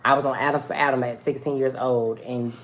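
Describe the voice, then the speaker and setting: A man's voice: medium pitch, fairly even intonation, a little fast, fairly bright tone, poor audio. A young American man with a naturally feminine-sounding voice, chatting candidly and in slang as a podcast host. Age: young adult. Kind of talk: casual podcast chat. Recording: faint music behind the voice.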